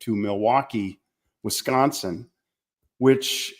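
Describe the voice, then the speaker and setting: A man from small-town Minnesota with an American accent, recalling his life as an interview guest in a reflective, halting way. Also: on the low side. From small-town Minnesota, United States. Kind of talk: conversational personal recollection.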